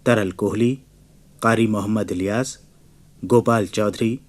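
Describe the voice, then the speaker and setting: Male radio announcer, formal scripted reading, lowish pitch, slow measured pace. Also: steady tone.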